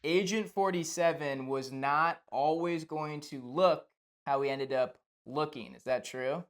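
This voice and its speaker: A man's voice: fairly high pitch, unhurried. A man hosting a podcast, asking questions and chatting casually with his guest. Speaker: podcast host, interviewer. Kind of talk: casual interview chat.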